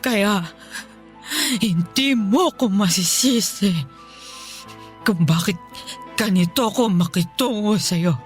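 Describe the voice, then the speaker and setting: A woman playing a resentful, partly paralysed mother in a Tagalog radio drama, halting and reproachful, cruel to her child. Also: medium pitch, natural voice. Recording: music bed behind the voice.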